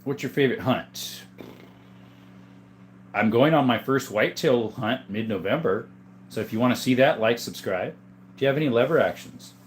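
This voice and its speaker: A man hosting a casual livestream from a room full of guns, reading viewer comments aloud in a relaxed, joking way. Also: mid-range voice, moderate pace, long pauses, slight background noise. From Arizona. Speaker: livestream host reading chat. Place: indoors, room with guns on display.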